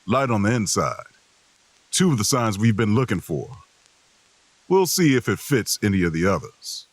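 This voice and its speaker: A queasy, worn-out traveler narrating an audio fiction road story. The voice is low and rough with a lively range, recorded very dry. The delivery is slow, weary and wry. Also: male voice, dry recording. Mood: weakly wry. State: queasy, groaning.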